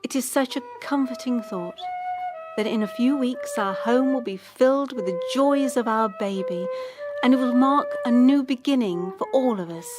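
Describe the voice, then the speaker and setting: An actress playing a tender, grieving soldier's wife in a radio drama, wistful and deliberate. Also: female voice, on the high side, music in the background, close to an everyday voice.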